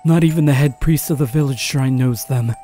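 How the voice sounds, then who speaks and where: A stern old village grandfather, played by a man in a horror audio drama. His voice is mellow and mid-pitched, with solemn, deliberate delivery over faint music. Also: lightly acted. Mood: grave.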